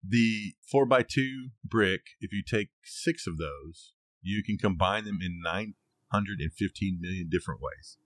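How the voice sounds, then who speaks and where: Middle-aged guy podcast cohost, deep voice, no room sound, just casually telling facts. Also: measured pace, a bit bright, no echo. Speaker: podcast co-host. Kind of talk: casual conversation, sharing researched facts.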